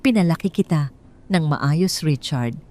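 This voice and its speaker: Frail, trembling old father, low and quiet, read by a woman. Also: lively intonation, a little slow. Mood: bitter.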